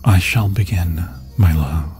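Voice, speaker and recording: A man voicing an obsessed, possessive demon lord in an ASMR horror roleplay, husky and breathy, often dropping into a whisper, with grandiose, seductive phrasing. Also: deep voice, slow pace, a little mellow, faint music behind. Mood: commanding, ceremonial. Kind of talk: ASMR fantasy horror roleplay, theatrical monologue. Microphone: close, dry microphone.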